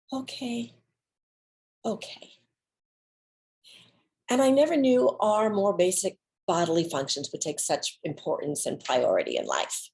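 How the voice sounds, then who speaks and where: A woman giving a dramatic reading of an autobiographical monologue, with theatrical, deliberate phrasing and pauses. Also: on the low side, darkish tone. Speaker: solo stage performer.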